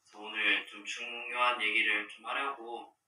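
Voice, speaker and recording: A young man in his twenties chatting casually to fans on a livestream, soft-spoken and drifting into murmurs and whispers. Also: fairly low pitch, flat delivery, normal speed, distant mic, a little hiss or hum. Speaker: livestream host. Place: room with dark wall at a long table, indoors. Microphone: device camera across the table. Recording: roomy, from slightly off-mic.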